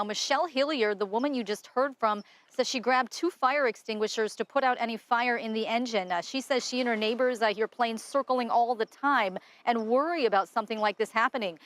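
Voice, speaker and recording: High-voiced American woman reporting live. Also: dry recording. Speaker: field news reporter. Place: outdoors on a residential street.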